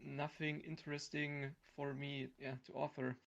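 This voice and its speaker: A man in his thirties speaking as a non-native speaker, halting and searching for words in a casual interview. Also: fairly high pitch, level tone, creaky, a bit bright, dull audio. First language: not English. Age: 30s. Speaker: voice actor guest.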